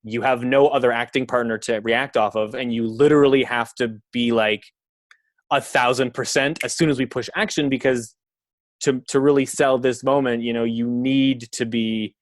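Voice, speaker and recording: A man's voice: mid-range voice, fairly even intonation, moderate pace, no room sound, clean studio sound. A man, a filmmaker from Canada, talking casually on a podcast in a rambling way, full of fillers and the odd swear word. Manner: rambling, heavy on fillers and profanity. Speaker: filmmaker guest.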